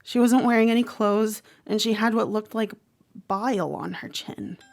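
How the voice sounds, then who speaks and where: A woman playing a troubled, protective teenage girl who narrates a horror audio drama in a measured, dramatic way. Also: on the high side, creaky, a little mellow. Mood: hushed, disturbed. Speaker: actor narrating. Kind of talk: first-person horror audio drama monologue.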